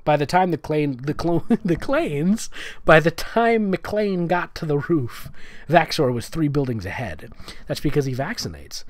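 A man's voice: fairly high pitch, animated, unhurried, dry recording. A man giving a comedic dramatic reading, with sarcastic, nitpicking asides as the host. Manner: sarcastic, nitpicking, breaking into giggles. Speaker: host reading fan fiction aloud.

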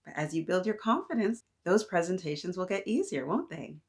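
A woman in her thirties reading aloud to young children, animated and encouraging, often addressing them directly with questions. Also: lowish voice, brisk. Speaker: children's story-time host. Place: small decorated indoor room.

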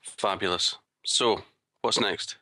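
A man's voice: lowish voice, slow, bright, forceful tone, no echo, studio quality. A man co-hosting a podcast, chatting casually in a reflective, agreeable way. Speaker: podcast co-host.

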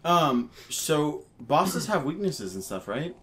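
A man's voice: a little high, big pitch swings, slow pace, a bit bright. A man in casual let's play chat, asking questions in a hesitant way as a co-commentator. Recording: faint background game noise. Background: video game sound.

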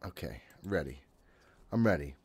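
A man's voice: extremely low pitch, big pitch swings, moderate pace, darkish tone, husky. A man in his thirties doing casual live commentary on a horror game, talking to himself in a jittery way and swearing often. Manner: jittery self-talk with frequent swearing. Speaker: gaming streamer. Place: small room at home, indoors.

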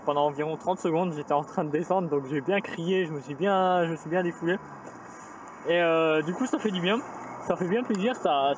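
A young man casually narrating his cycling trip to a handheld camera, chatty and self-mocking with many fillers, a bit tired. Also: on the high side. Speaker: cycling vlogger. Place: outdoors in a village and a forest, inside a small bunker. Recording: outdoor camera audio with clear ambient noise.

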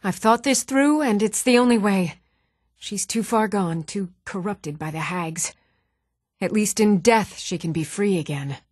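Actress as an even-toned young fantasy woman, close and dry. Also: medium pitch, no room sound, lightly acted. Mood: resolute, troubled. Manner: measured, serious delivery. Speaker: voice actor. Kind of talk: video game character dialogue.

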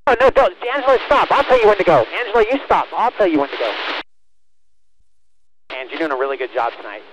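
A man's voice: on the high side, expressive, fast talker, lo-fi sound, crowd noise. A man working as a race spotter, speaking in short, repeated commands over a team radio. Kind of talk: urgent radio spotting calls to a driver.

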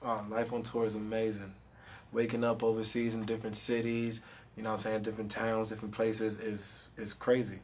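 A man's voice: fairly low pitch, completely flat delivery, fairly quick, slight background noise. A young man, a rapper, chatting casually in an interview with a handheld mic in a studio room, laid-back and full of "you know what I mean". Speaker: rapper guest.

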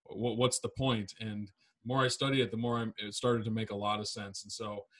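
A man's voice: lowish voice, little pitch movement, normal speed, fairly bright tone. A man in his thirties hosting a podcast from a home study, talking in a friendly, upbeat way with a few fillers. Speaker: podcast host. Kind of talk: casual podcast chat. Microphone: large podcast mic on stand.

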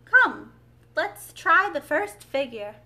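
Woman doing a emotional solemn turtle creature for a storybook, high voice, drawn out slow words. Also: expressive, bright, forceful tone, exaggerated voice. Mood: eager. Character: melancholy, tearful old-fashioned Mock Turtle, solemn yet excitable. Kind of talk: read-aloud storybook character.

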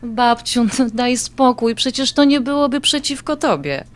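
A woman's voice: fairly high pitch, lively intonation, normal speed, lightly acted. A woman playing an affectionate adult granddaughter in an audio drama, talking in a coaxing, familiar way. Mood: gently challenging.

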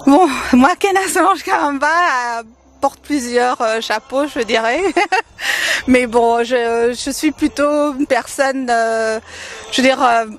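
A woman's voice: sits high, steady tone, takes it slowly. A woman from Congo in her thirties, answering interview questions with many hesitations and frequent laughs, speaking into a handheld mic held by the interviewer. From Democratic Republic of the Congo. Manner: hesitant, many fillers, warm laughs between phrases. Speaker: interview guest, event organizer. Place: decorated holiday light display, indoors.

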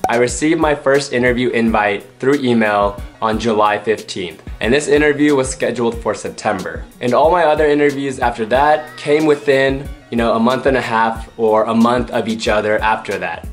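A young man with a slightly hoarse, worn voice talks casually to camera in a small room at home, giving friendly, conversational advice. His pitch sits in a middle range. Soft music plays faintly behind him. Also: normal speed, few pauses. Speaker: vlogger. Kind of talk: casual vlog talk to camera.

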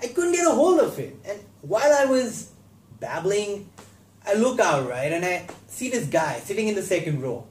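A man plays an anxious young stage actor confessing his doubts in a high, widely swinging voice. He is halting and self-deprecating, then bursts with intensity, all in an echoey room. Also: big pitch swings, darkish tone. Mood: agitated, embarrassed. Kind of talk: theatrical monologue.

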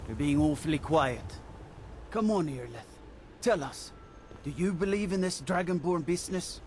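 A man's voice: on the high side, lively intonation, moderate pace, a little mellow, slight background noise, close to an everyday voice. A man playing a curious, doubting town guardsman with a needling, questioning manner in a fantasy video game. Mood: doubtful, needling.

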